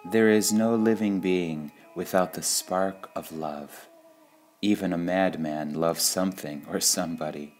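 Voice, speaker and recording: A man reads short spiritual sayings in a measured, deliberate devotional style, pausing between phrases. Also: low-pitched, fairly even intonation, darkish tone. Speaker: reader of spiritual quotations. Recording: music under the voice. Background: background music.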